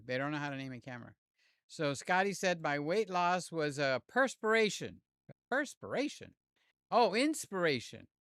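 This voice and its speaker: An older man hosts a casual live stream, joking and calling out viewers by name. His voice is a little hoarse and sits a touch high for a man. He sounds close and dry on a desk mic at home. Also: fairly bright tone, dry recording. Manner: rambling, jokey, talks to chat by name. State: slightly hoarse. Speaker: livestream host. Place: home room with fans and air conditioner. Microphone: desk mic near the mouth.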